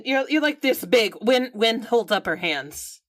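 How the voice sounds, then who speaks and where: A woman's voice: fairly high pitch, animated, unhurried, no room sound, studio quality. A woman casually improvising as a player in a tabletop role-playing game, moving between narration and dialogue.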